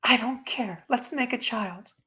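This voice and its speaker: Casting: lovestruck young woman played by a woman reader, very high, romantic, insistent, storybook romance. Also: unhurried, a bit bright. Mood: insistent, pleading. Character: devoted young woman in love, stubbornly pleading.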